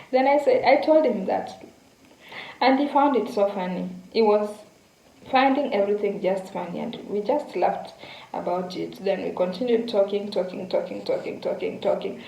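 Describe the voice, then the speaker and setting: A young woman from Kenya tells a story in a chatty, casual way, often repeating herself and turning to her viewers. Her voice sits at a middle pitch. The room around her is echoey, with a faint hum of background noise. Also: moderate pace, gravelly, warm tone. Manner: chatty, repeats words, addresses viewers directly. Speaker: vlogger.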